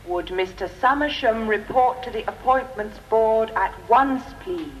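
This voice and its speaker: A woman's voice: on the high side, a little slow. An actress playing a polite female public-address announcer in a radio drama, formal and distant. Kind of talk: British radio drama acting. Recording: distant announcement, faint noise.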